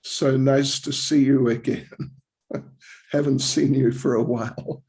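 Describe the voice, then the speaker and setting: An older man in his seventies from New Zealand speaks slowly in a relaxed, rambling way, giving a spiritual class with self-deprecating asides. His voice has a gravelly edge, and he takes his time between phrases. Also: mid-range voice. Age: 70s. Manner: slow, rambling, self-deprecating with light laughs. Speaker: visiting swami giving a class.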